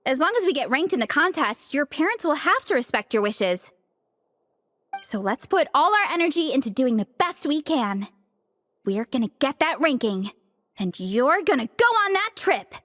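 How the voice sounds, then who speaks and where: A woman's voice: high voice, sing-song, normal speed, a bit bright, no room sound, studio quality. An actress playing an upbeat, encouraging young girl in an anime game, peppy and reassuring. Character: upbeat, loyal, encouraging young girl, a confident best friend.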